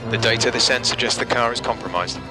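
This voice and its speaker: A man's voice: mid-range voice, fast, over loud music. A man playing a composed, businesslike race engineer giving clipped instructions over team radio in a video game. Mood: composed, urgent.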